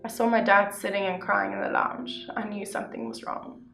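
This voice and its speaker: A young woman reading a first-person story aloud in a steady, even way. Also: medium pitch, a little fast, creaky. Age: young adult. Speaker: youth reader. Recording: echoey room with soft guitar music.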